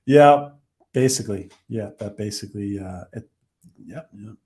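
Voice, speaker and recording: A man's voice: fairly low pitch, a little mellow. Rambling man with a fading voice. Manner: rambling, halting, many fillers and restarts. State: losing his voice. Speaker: live-stream host reviewing a TV episode. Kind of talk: casual live-stream chat reading viewer comments.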